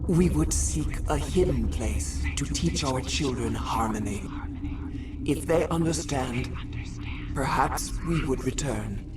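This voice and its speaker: Slow, eerie alien insect queen. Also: sits low, recorded from a distance, background noise. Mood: solemn pleading. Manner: slow, halting, solemn poetic phrasing. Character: ancient alien insect queen, mournful and stately, speaking collectively. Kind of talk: video game character acting.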